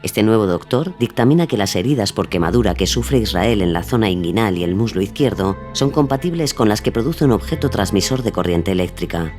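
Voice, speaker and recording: Man, fairly low voice, scripted documentary narrator, light music underneath. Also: expressive, brisk, few pauses. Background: soft music.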